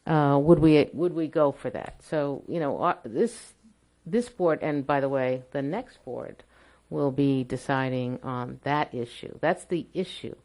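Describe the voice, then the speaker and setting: A woman on a town board explaining an issue at a public meeting, halting and repeating words as she goes. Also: very deep voice, darkish tone. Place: town hall meeting room.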